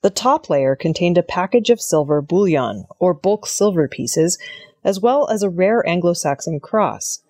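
A woman's voice: fairly low pitch, expressive, a little slow, darkish tone, dry recording, clean studio sound. A woman reading scripted news narration as an audio news presenter. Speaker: news reader.

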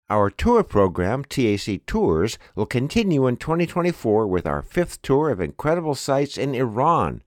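Guy hosting a news podcast intro, lively up and down delivery, really dry sound. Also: medium pitch, dry recording. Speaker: program host and announcer. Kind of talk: scripted news summary and promo narration.

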